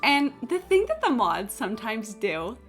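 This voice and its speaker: Woman game streamer, higher-pitched, casual and blunt, sweary rambling storyteller, light game music underneath. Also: huge pitch swings, measured pace. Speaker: live streamer. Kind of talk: casual gaming stream chat, rambling anecdotes. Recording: game music playing behind the voice. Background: video game music.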